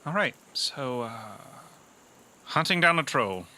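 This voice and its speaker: A man's voice: medium pitch, expressive, slow pace, sharp tone. A man playing a tabletop game on a podcast, improvising in character and narrating with a dry, resigned delivery.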